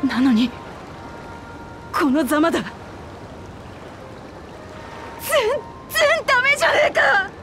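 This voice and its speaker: A woman voicing a young lion-woman warrior queen in a video game, passionate and earnest, with rough, tomboyish speech. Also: extremely high pitch, a bit bright, a little hiss or hum, exaggerated voice. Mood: anguished, self-reproaching. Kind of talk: dramatic video game voice acting.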